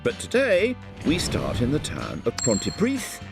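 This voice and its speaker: A man's voice: mid-range voice, wildly swooping pitch, a little slow, gravelly. A man narrating a documentary in a scripted, wry voice-over. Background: background music.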